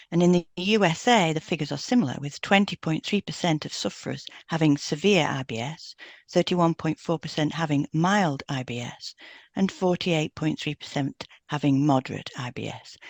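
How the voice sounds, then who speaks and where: A woman's voice: deep voice, dry recording. A woman reads as co-host of an educational podcast, with a very dry, close sound. Her delivery is informative and scripted, spelling out terms carefully.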